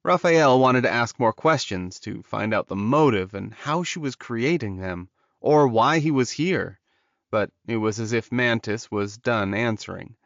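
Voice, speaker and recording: A man's voice: medium pitch, measured pace, creaky, a bit bright, no room sound. A man narrating a scripted fantasy story in the dramatic manner of an audiobook. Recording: dull, lo-fi audio.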